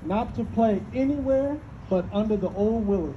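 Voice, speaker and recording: A man in a live stage reading playing a lame enslaved man who fondly recalls childhood in a halting, folksy way. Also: sits high, muffled recording, close to an everyday voice. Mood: fond, reminiscing. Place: outdoor stage, recorded from the audience. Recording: outdoor audience recording with crowd.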